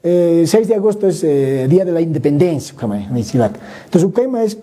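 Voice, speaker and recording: Man, very dark tone, husky, conversational explaining, frequent restarts. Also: on the high side, big pitch swings, fairly quick. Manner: thinking aloud, many restarts and "¿no?" tags. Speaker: guest speaker. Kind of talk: conversational explanation, mixing Spanish and Aymara.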